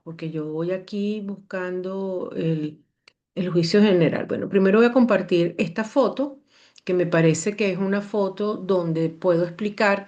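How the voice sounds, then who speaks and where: A woman's voice: mid-range voice, highs cut off. Venezuelan woman explaining astrology. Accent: Venezuelan Spanish. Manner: animated, repetitive, digressive. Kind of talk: casual explanatory talk.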